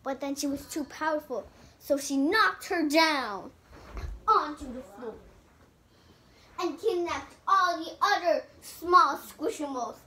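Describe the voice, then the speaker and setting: A child improvising a fairy tale with toys, telling it dramatically in halting sentences. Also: high-pitched, expressive, bright, forceful tone. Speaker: storyteller. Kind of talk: improvised storytelling of a toy play fairy tale. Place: indoors in a room at home.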